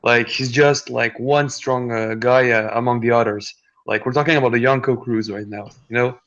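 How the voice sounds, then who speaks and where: Man, gravelly, offhand, dull call audio. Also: mid-range voice, fairly even intonation, normal speed, a bit bright. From France. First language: French. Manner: offhand, dismissive. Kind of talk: casual group chat.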